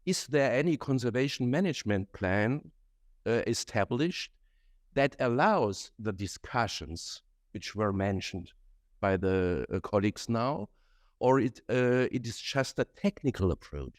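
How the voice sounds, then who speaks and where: A man asking a question from the audience at a conference, measured and with some fillers. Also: medium pitch, very animated, no room sound, clean studio sound.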